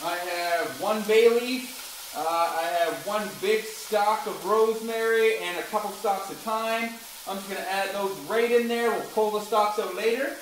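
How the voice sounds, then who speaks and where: A man with a fairly high voice talks through a recipe in a home kitchen, chatty and relaxed, turning now and then to his little son. The sound is very echoey, bouncing off the hard kitchen walls, with a faint hiss underneath. He is picked up by a camera some distance from him, not a close mic. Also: unhurried. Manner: chatty, explaining steps while talking to his toddler son. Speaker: home cooking show host. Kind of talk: casual cooking demonstration.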